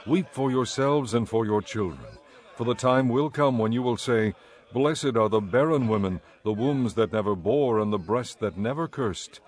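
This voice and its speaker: A man reading Scripture aloud and voicing a calm teacher in an even, solemn way. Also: mid-range voice, moderate pace, close to an everyday voice. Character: calm male teacher speaking gravely.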